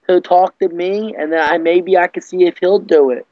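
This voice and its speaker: A young man plays a whiny little schoolboy in a prank phone call, high in pitch. He pleads politely and hesitantly, in childlike phrases, over slightly muffled, dry computer audio. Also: fairly even intonation, moderate pace, dry recording, natural voice. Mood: pleading.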